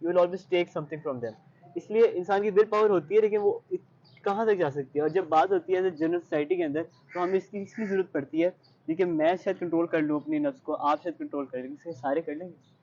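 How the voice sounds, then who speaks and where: A man's voice: a little high, fairly quick, darkish tone, highs cut off. A young man with a Pakistani accent answering interview questions in an earnest, reflective way, mixing in English phrases. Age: young adult. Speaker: interview guest, high-achieving student.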